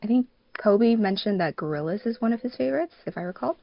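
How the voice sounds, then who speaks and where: A woman's voice: medium pitch, expressive, fast, creaky, crowd noise. A woman hosting a podcast in a casual, chatty way, often using "like" as a filler. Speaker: podcast host and fandub producer. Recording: dull, lo-fi voice recording.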